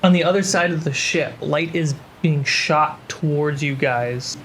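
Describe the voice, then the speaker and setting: A man narrating a tabletop role-playing game as game master, describing scenes in short phrases with pauses. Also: a little high, fairly bright tone, slight background noise. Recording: prominent music bed behind voice. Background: background music score.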